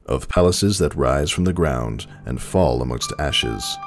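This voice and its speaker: A man narrating a story dramatically in a measured, solemn manner. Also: rumbling low, animated, music in the background.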